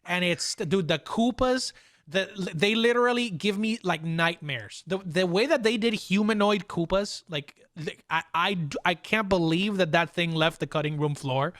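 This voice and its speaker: A man hosting a casual podcast, talking excitably and repeating phrases for emphasis. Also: high-pitched, normal speed, no echo, studio quality. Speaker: podcast host.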